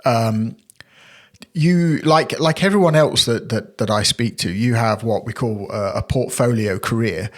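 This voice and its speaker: Halting, informal male podcast host. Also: mid-range voice. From England. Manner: informal, halting questions with many fillers. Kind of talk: casual interview hosting.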